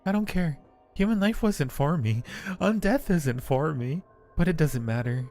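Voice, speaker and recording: A man's voice: fairly high pitch, expressive, unhurried, a little mellow, pitched above the performer's own voice. A man voicing a lovestruck undead acolyte from a horror game, narrating with earnest, brooding resignation. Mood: fervent devotion. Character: devoted undead cave acolyte, fatalistic and lovestruck. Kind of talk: dramatic reading of game narration. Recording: music behind the voice.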